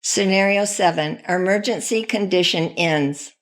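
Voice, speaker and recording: A woman's voice: fairly low pitch, unhurried. A woman reading scripted training narration.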